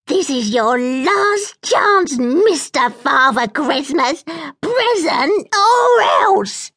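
Screechy spiteful witch lady, a woman doing the voice, high and swooping, drags every word out slow. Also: expressive, a bit bright, no room sound, studio quality, full character voice. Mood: indignant. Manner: slow, drawn-out, indignant and sneering.

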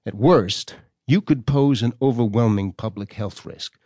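An actor playing a stern, formal government doctor reading out his correspondence in a measured, condescending way for an audio drama. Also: medium pitch, dark tone, no room sound, clean studio sound, natural voice. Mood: measured, warning.

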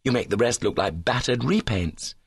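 Man acting an eager, animated lovestruck young chap. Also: medium pitch, moderate pace, creaky, breathy edge, no echo, studio quality. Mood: ardent. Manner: breezy, earnest, indignant when rebuffed. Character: lovestruck young male golfer, breezy, slangy, eager. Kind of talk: comic short-story reading.

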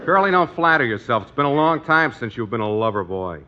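A man's voice: medium pitch, very animated, fairly quick, lightly acted. A man playing a smug, wisecracking best pal who needles with dry putdowns in 1950s radio sitcom style. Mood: mocking. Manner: dry teasing, punchy putdowns. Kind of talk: 1950s radio sitcom acting before a live audience. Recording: muffled vintage radio recording.

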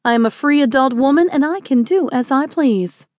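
A woman's voice: sits high, lively intonation, moderate pace, no room sound, dull audio, pitched above the performer's own voice. A woman voicing a headstrong young schoolmarm in audiobook dialogue, haughty, defiant and indignant.

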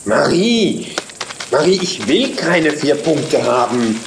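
A man's voice: on the high side, big pitch swings, takes it slowly, vocal fry, noisy background, voice pushed up, exaggerated voice. A man voicing a cross little boy ladybug in a children's audiobook, complaining indignantly and emphatically. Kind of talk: children's audiobook character acting.

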